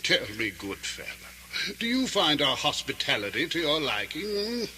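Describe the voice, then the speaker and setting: An actor playing a sly, cruel nobleman in a radio drama, speaking with pompous mock courtesy and sinister smoothness. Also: on the high side, very animated, unhurried, bright, forceful tone, exaggerated voice. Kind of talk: theatrical radio drama acting. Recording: noisy vintage radio drama recording.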